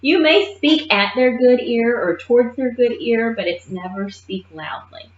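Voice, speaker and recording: A woman teaching a nursing assistant class in a lively, emphatic way, often checking in with her listeners. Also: a little high, a little slow. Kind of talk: classroom lecture. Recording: muffled roomy classroom recording.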